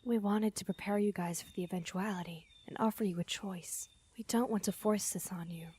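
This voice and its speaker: A calm but firm mother who guards dark magic played by a woman in a fantasy audio drama. Her medium-pitched voice is serious and deliberate, with a protective weight to it. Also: slightly rough, natural voice. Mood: grave, gentle.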